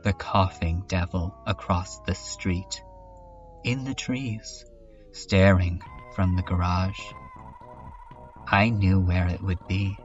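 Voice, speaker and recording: A haunted survivor voiced by a man tells a horror tale in a deep, unhurried voice. The delivery is introspective and halting, weary with dread. It sounds set back from the microphone, with music behind it. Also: fairly even intonation, recorded from a distance, dull audio. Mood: weary, brooding. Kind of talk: dramatic first-person narration in a fan dub.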